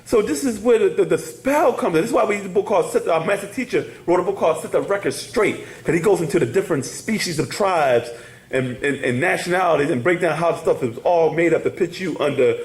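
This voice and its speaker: A man's voice: on the high side, lively intonation, a little fast, echoey room. A man teaching a casual class, rambling and laughing, often asking "you hear me?". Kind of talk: casual spoken class lecture.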